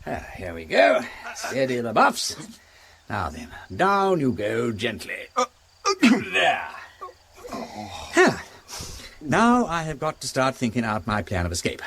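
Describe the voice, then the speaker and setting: Animated upper-class English gentleman sleuth. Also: mid-range voice, slow pace, creaky, pauses often, a crowd in the background, exaggerated voice. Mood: playful, busy. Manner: whimsical, wordy, commanding. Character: eccentric, playful English aristocrat amateur detective, energetic and theatrical. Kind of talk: radio drama acting.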